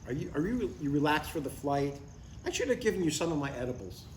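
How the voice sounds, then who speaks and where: A man's voice: on the high side, big pitch swings, fast. An older man playing an eager, stubborn retired father in a live comedy script table read, chatting earnestly and needling a little. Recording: echoey, distant live room with background noise.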